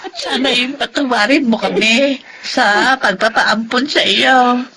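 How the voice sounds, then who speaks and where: A high, bright old Filipino sister. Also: female voice, a little slow. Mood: moved. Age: older. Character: elderly, hopeful, tender long-lost elder sister. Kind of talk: emotional Filipino melodrama acting. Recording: noisy ambient background.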